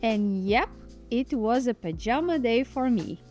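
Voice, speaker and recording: A woman in her thirties whose first language is Portuguese, narrating a relaxed lifestyle vlog in a chatty way and restarting words now and then. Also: fairly high pitch, measured pace. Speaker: lifestyle vlogger. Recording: soft background music.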